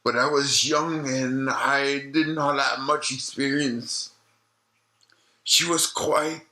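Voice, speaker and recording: Man playing elderly male doctor, haunted, audio-log drama, slow deliberate pacing, sharp bright tone, reflective storytelling. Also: medium pitch, poor audio. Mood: somber recollection. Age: older. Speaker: retired obstetrician being interviewed.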